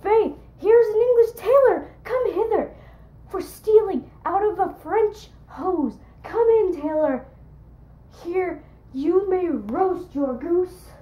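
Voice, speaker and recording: Woman playing a loud, high-pitched grumbling gatekeeper. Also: expressive, takes it slowly, roomy sound, lo-fi sound, full character voice. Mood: mocking, exasperated. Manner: declamatory, exclaiming, sardonic. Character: grumbling, mocking male castle gatekeeper from Shakespeare. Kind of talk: theatrical Shakespearean monologue.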